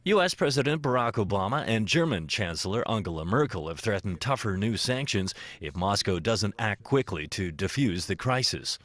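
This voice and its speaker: A man's voice: on the low side, lively intonation, normal speed, few pauses, fairly bright tone. A man reading scripted news narration as a reporter.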